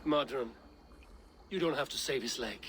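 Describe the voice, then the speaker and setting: An actor playing a calm, good-humoured Viking warrior hero in a video game. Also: male voice, medium pitch, a little hiss or hum, close to an everyday voice. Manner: calm, measured. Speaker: game protagonist.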